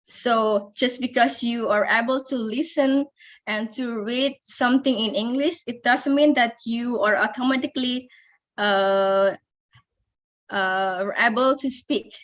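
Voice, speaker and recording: A woman's voice: on the high side, measured pace. A young adult woman from Indonesia with an Indonesian accent, teaching an English lesson, hesitant, with many fillers and restarts.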